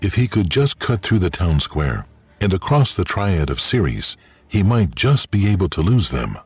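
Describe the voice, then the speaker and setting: A man narrating a scripted dramatic story in a measured, wry storyteller's manner. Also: deep voice, very animated. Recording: loud music behind.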